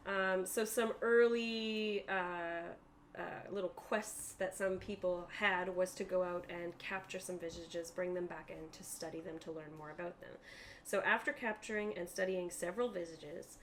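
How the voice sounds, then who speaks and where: Woman game master over clear background noise. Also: on the low side, fairly even intonation, moderate pace. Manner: conversational, explanatory. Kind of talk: narrating a tabletop roleplaying game.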